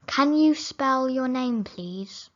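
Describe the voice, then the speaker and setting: A woman reading simple English phrases for learners, saying each sentence slowly and clearly, then repeating it. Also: high voice, lively intonation, dull audio. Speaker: English teacher.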